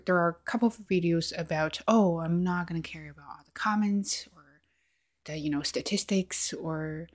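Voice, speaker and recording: A woman from China with a Chinese accent, an English teacher talking through personal thoughts in a soft, hesitant way with many fillers and restarts. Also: lowish voice. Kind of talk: reflective personal monologue.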